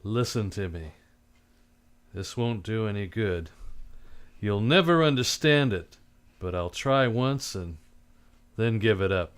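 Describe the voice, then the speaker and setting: A man's voice: fairly low pitch, expressive, slow pace, long pauses, clean tone. A man playing a cynical, unsentimental private detective in a live old-time radio drama, with terse, blunt, slangy tough-guy delivery. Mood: bitter, resolute.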